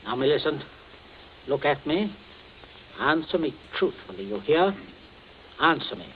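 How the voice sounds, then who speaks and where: A man's voice: fairly high pitch, big pitch swings, measured pace. An actor playing a middle-aged, domineering father, stern and clipped, coldly questioning his family in a radio drama. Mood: cold, controlled. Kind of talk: old radio drama acting. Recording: tinny, noisy old radio recording.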